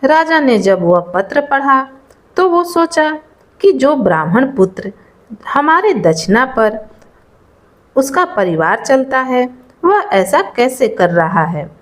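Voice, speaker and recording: A woman tells a folk tale from a script. Her voice is high and animated in its rise and fall. She takes the narration at a very unhurried pace. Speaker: storyteller.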